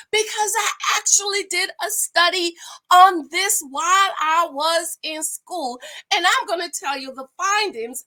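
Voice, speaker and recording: A loud, chatty woman with a Jamaican-American accent and a bit of the American South in it. Her voice sits a touch high and sounds bright and forceful. She talks casually at home into her computer's built-in mic, filling gaps with "um" and "honey.". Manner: loud, chatty, animated, many fillers. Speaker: reality TV recap vlogger. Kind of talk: casual talk to camera. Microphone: computer built-in mic, no microphone.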